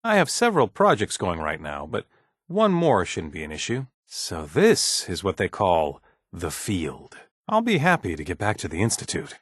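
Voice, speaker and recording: A husky, low-pitched male scientist from a video game. He is polite and deferential, with a measured bureaucratic manner and a hesitant, uneasy edge. His intonation moves around freely, and the sound is close and dry. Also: wildly swooping pitch, no echo, full character voice. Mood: polite, businesslike. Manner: hesitant, deferential, measured office-professional speech. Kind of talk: video game dialogue acting.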